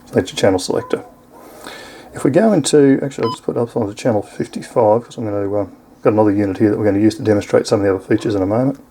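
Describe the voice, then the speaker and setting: A man's voice: lowish voice, rapid-fire, warm tone, a little hiss or hum. An Australian man giving a casual, explanatory hands-on product review in an informal way.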